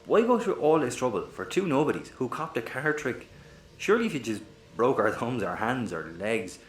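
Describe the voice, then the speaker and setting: An actor playing a mouthy, exasperated space rogue in a sci-fi audio comedy. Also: male voice, mid-range voice, very animated, normal speed, natural voice. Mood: cheeky pleading. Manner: exasperated, cheeky, sweary. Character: excitable, mouthy Irish male space rogue. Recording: echoey room, faint background noise.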